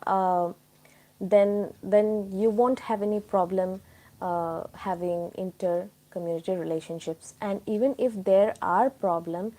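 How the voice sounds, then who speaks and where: A woman's voice: medium pitch, dark tone. A woman from Tripura with an Indian accent, hosting a casual live chat and explaining things informally to her viewers. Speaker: live stream host.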